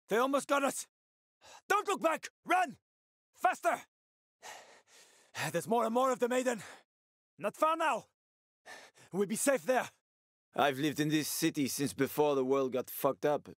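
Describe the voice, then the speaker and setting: A man's voice: fairly high pitch, no echo, clean studio sound. A male actor voicing a wry, streetwise survivor guide and ex-soldier in a video game, with colloquial, foul-mouthed talk. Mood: urgent, alarmed, then sly, confiding. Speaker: video game companion character.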